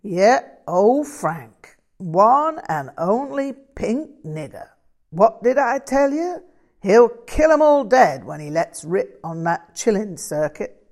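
A woman's voice: mid-range voice, very animated, slow, a little mellow, voice pushed up, heavily acted. A woman voicing an exuberant, streetwise American bluesman in an audiobook story, slangy and teasing. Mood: boastful. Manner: slangy, swaggering, drawling out phrases.

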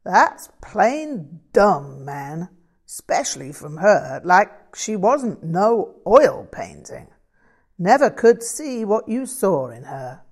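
An exuberant American bluesman from a novel , acted out by a woman narrator, talking in swaggering slang. He teases his friends and stretches out his phrases, in a pitch lifted above the reader's own. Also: sing-song, takes it slowly, slightly rough, full character voice. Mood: blunt, dismissive.

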